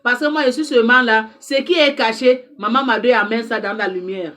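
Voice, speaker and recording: A woman's voice: on the high side, fairly quick, a bit bright. A woman speaking French as a second language, giving an impassioned direct-to-camera address as an advice counselor, emphatic and repetitive as she exhorts her listeners. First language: not French.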